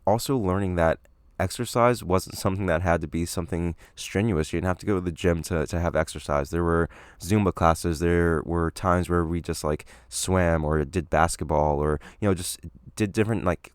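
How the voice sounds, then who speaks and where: A young man hosting a solo podcast, talking casually with a slightly raspy voice, hedging and filling pauses with "you know" and "um". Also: deep voice, no room sound, studio quality. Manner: rambling, hedging, many fillers. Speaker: podcast host.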